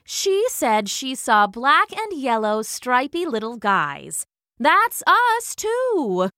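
Lady voicing a snooty queen wasp for a kids story, argues everything, sharp voice that swoops around. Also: high voice, sing-song, takes it slowly, no echo, clean studio sound, voice pushed up, exaggerated voice. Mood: protesting. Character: haughty queen wasp, argumentative and easily offended.

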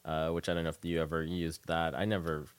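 An American man with a fairly low voice hosts a casual radio interview. His questions come out loose and informal, with joking asides mixed in. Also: level tone, fast talker, clean tone. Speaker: radio show host, interviewer.

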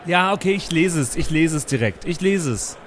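Rookie superhero, young and excitable, high lively pitch, male player acting, improvised role-play, noisy recording. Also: normal speed, natural voice. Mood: flustered. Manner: slangy, excitable. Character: eager young superhero rookie, wall-walker, just out of school. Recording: clear background noise.

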